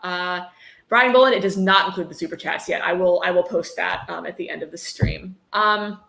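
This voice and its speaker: A woman's voice: fairly low pitch, moderate pace, gravelly, pushed and bright. A woman hosting a livestream, chatting casually and reading passages aloud with sardonic commentary and free swearing. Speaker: livestream host reading a book aloud for charity. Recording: roomy, echoey sound.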